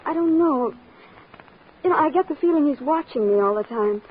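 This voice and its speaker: A woman's voice: high voice, lively intonation, moderate pace. An actress playing an anxious, earnest young woman in an old radio drama, melodramatic and breathless. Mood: anxious, distressed. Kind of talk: 1940s-50s radio drama acting. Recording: muffled, noisy old radio recording.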